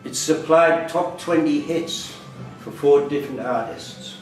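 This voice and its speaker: A man's voice: on the high side, a little slow. Male quiz master in an echoey room. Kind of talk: live pub-quiz question reading. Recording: echoey room, distant mic, loud background music.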